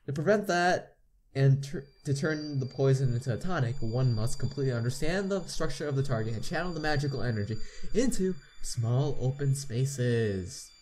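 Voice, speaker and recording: A man's voice: medium pitch, lively intonation, a little mellow, slight background noise, poor audio. A man hosting a game playthrough, reading the text aloud with asides and often stumbling and repeating words. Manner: halting reader, repeats misread words. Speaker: playthrough host.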